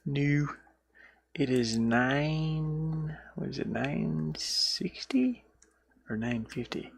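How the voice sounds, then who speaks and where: A man giving casual, easygoing devlog commentary as an indie game developer, halting and thinking out loud while he works. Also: a little high, expressive, creaky, husky. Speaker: indie game developer showing his own game.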